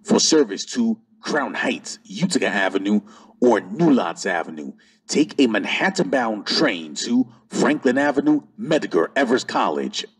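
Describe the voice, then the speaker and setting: A man reading scripted transit service announcements as an announcer, in a formal, measured manner. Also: fairly low pitch, very animated, slightly rough.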